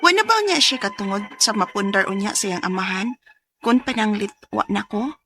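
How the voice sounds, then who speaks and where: A woman's voice: mid-range voice, animated, brisk, over music, lightly acted. An actress playing a hot-tempered, desperate young single mother with a Cebuano accent in a radio drama, emotional and accusing. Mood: troubled, pleading. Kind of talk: Filipino radio drama acting.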